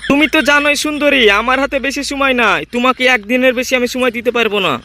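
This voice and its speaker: A man's voice: very high-pitched, steady tone, fast talker, pushed and bright, slight background noise. A man voicing a young village fellow in a comedy cartoon. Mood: smug, boastful. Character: boastful young village man, once broke, now rich.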